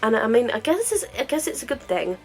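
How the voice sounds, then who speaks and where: A woman's voice: on the high side, very animated, fairly quick. Woman, home vlogger, congested nose, rambling and candid, faint background music. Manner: rambling, filler-heavy, candid. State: stuffy-nosed with a cold. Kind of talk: casual chatty diary vlog.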